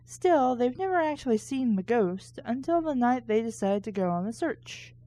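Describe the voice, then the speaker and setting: A woman with a fairly high, soft-edged mellow voice reads a book aloud at a leisurely pace. She hosts casually and cuts in with snarky, critical comments. The sound is dry, with no room echo. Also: expressive, moderate pace. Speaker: host of a book reading show.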